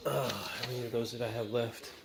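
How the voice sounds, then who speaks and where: A man's voice: medium pitch, fairly even intonation, brisk, gravelly. A man hosting a casual DIY walkthrough, thinking aloud and tallying parts as he goes. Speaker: DIY video host. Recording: noisy background.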